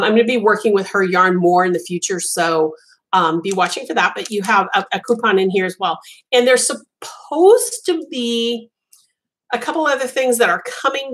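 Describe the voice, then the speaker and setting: A woman's voice: mid-range voice, fairly bright tone, rough recording. A woman whose first language was Italian, hosting a casual live chat as a crochet designer, rambling with frequent ums and restarts.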